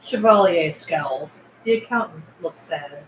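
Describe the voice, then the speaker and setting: A woman's voice: sits low, a little slow. A woman narrating the scene directions of a film script at a table read. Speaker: stage-direction reader. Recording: roomy echo with clear background noise.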